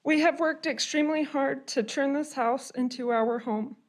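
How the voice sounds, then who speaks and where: A young woman giving public comment at a city hearing, reading a prepared statement in an earnest, measured, formal way. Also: high-pitched.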